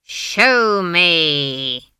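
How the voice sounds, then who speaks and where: Lady doing a bossy old witch granny, blunt n impatient, slow and lowish, storybook style. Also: very animated, vocal fry, pushed and bright, no echo, full character voice. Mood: icy, commanding. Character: stern, gruff old country witch grandmother. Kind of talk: audiobook character voice.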